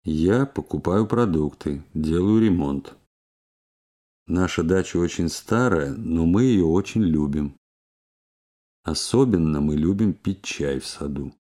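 A man reading scripted phrases and questions for a language course, slowly and with careful, clear enunciation. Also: low voice, warm tone.

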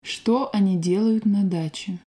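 Casual: mid-pitched woman w a warm mellow voice reading course drills, clear and measured. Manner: clear, measured, textbook delivery. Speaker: language course reader. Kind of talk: scripted language drill reading.

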